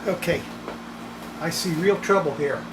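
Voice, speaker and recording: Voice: mid-range voice, a little slow, echoey room, background noise. An older person narrating hands-on repair work in a casual, folksy way, often muttering to themselves in a small workshop. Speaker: radio restorer presenting own repair. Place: small cluttered indoor electronics workshop.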